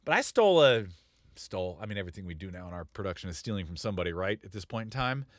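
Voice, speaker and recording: A man's voice: sits low, very animated, very fast, dry recording. A man talking shop as a music producer in a casual studio chat, blunt and rambling, with frequent chuckles.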